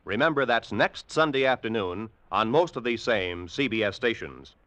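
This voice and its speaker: A man's voice: fairly low pitch, animated, normal speed, pushed and bright, no echo. A man with an American accent doing polished, emphatic scripted announcing for 1950s network radio. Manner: polished, emphatic broadcast delivery. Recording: dry vintage radio recording, faint music.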